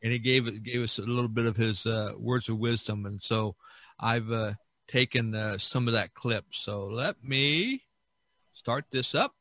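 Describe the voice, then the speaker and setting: A man hosting a podcast in a casual way, often halting and repeating his words. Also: medium pitch, a bit bright, no room sound. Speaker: podcast host.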